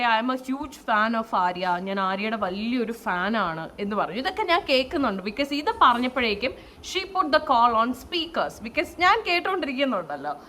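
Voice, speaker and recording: Bright, high-pitched woman chatting. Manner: animated, mixes English phrases into talk. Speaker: vlogger and saree store owner. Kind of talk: casual chatty vlog storytelling. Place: at home, indoors. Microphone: no external microphone, camera's own mic. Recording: faint noise, no microphone.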